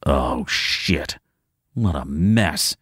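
A man doing a tense, low-voiced gunman. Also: expressive, extremely slow, a bit bright, no echo, studio quality, full character voice. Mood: tense, threatening. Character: nervous male gunman, adult, threatening.

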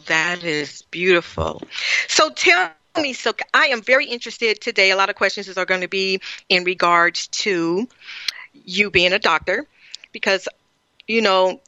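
A woman's voice: mid-range voice, sharp tone, dry recording. A woman hosting a radio interview, reading a scripted introduction and reacting with effusive praise. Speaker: radio show host. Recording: dull lo-fi, dry.

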